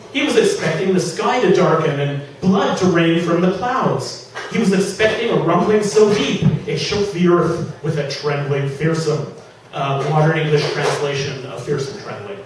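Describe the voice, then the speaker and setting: Man, somewhat high-pitched, author reading comic fiction, dramatic emphasis, heavy room echo, distant mic. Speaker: author reading from own novel. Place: public reading at an indoor venue. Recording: echoey room from far off, faint noise.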